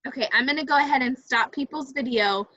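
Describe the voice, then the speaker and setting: A woman with a high, forceful bright voice walks people through a video call. She thinks aloud in a hesitant, casual way, and the audio is dry and a little dull. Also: quick pace, no echo. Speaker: event moderator giving technical help. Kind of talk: casual technical walkthrough.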